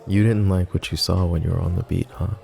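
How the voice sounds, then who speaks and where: A brooding mafia boss, lovesick and wry, played by a man in an ASMR roleplay. His very deep voice carries a gravelly edge and a dark, mellow tone. He talks close and soft, slipping into whispers, with faint music behind him. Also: fairly even intonation, a little fast. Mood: flustered, teasing. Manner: soft, intimate, drops to whispers; wry, self-mocking humor. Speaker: voice actor.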